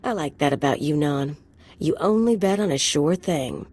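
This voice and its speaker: An actress playing a brash, ambitious young mercenary in a video game, with a sly, sardonic drawl. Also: female voice, low-pitched, expressive, normal speed, a little mellow. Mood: wry, amused. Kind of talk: video game character dialogue.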